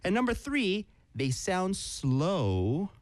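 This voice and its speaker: Animated woman radio host with swooping pitch. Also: no room sound. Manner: switches between Korean and slow, deliberate English explanations. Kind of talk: lively bilingual quiz-show chat in Korean and English.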